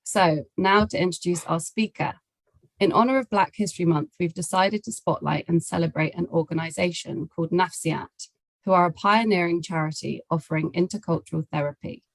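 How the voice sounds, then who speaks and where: A woman with a slightly low voice hosts an online webinar over a video call. She gives a courteous, prepared introduction, and the sound is dry, with little room in it. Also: fairly quick, vocal fry, dry recording, poor audio. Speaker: webinar host. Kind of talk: scripted welcome and introduction.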